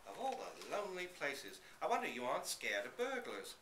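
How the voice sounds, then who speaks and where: A man's voice: mid-range voice, wildly swooping pitch, moderate pace, sharp tone. A man voicing a nervous, plain-spoken young barman from the city who grumbles to his father. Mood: curious. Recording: roomy live reading, slight background noise.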